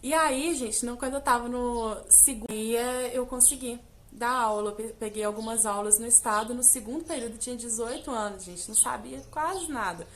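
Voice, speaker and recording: Young woman from Brazil, chatty vlogger, fairly high voice, faint hiss behind. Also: fairly quick. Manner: chatty, direct address with many fillers. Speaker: English teacher, vlogger. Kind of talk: casual personal vlog.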